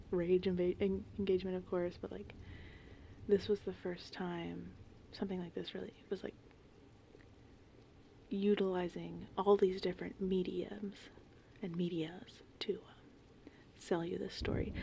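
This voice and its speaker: Whispered female ASMR, mellow and breathy, thirties, informal rambling, faint gentle rain and thunderstorm ambience. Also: medium pitch, muffled recording, slight background noise. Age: 30s. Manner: informal, meandering, conversational. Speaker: ASMR host, horror film fan.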